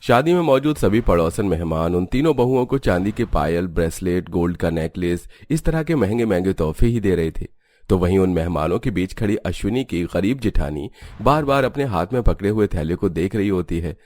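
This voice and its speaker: A man's voice: sits low, lively intonation, moderate pace, a little mellow, dry recording. A man reading scripted narration for a cartoon story.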